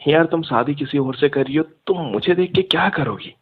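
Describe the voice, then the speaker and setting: A man's voice: fairly high pitch, moderate pace, breathy edge. A young man in a casual phone conversation, speaking insistently and argumentatively, mixing in English words. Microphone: phone line. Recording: muffled phone call audio.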